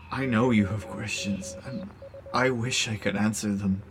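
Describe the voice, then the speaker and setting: A man playing an ancient, once-mighty cosmic being, now weakened, delivering a solemn, cryptic audio drama monologue in measured phrases. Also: on the low side, fairly even intonation, lightly acted. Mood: grave, cryptic. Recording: music behind the voice.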